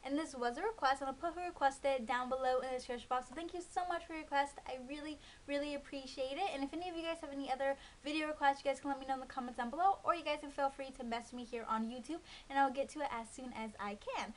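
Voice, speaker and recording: A woman's voice: high voice, fast, few pauses. A woman in her early twenties chatting casually to camera, rambling with lots of ums and likes. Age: 20s. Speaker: beauty vlogger. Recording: faint hiss.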